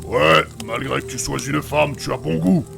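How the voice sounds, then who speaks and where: A man playing a gruff, foul-mouthed brawler, blunt and mocking, in a fantasy audio drama. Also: mid-range voice, moderate pace, over music. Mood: gruff, sardonic. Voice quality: gravelly. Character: gruff, foul-mouthed, sarcastic male brawler raised among orcs. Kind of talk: French fantasy audio fiction acting.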